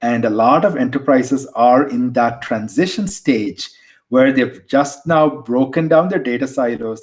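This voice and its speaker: A man's voice: medium pitch, a little mellow. A man with an Indian accent, a guest on an interview podcast, explaining things conversationally and often repeating words as he restarts. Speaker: guest, tech executive.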